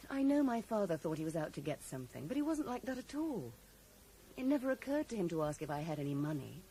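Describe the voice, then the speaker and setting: Mellow, defensive young woman. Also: on the low side, big pitch swings, fast. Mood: wistful, loyal. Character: loyal, defensive young woman in love, recovering in hospital. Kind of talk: 1980s radio drama acting. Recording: slightly noisy old radio broadcast recording.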